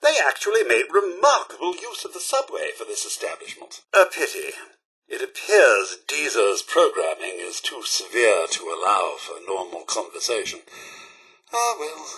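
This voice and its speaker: Casting: fussy British robot butler in a game, high-set voice, slightly rough edge, pompous and very courteous. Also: male voice, huge pitch swings, normal speed, bright, forceful tone, full character voice. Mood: formal, polite. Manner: formal, pompous, theatrically polite. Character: prim, fussy, snobbish male robot butler. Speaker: video game voice actor. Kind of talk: scripted game companion dialogue.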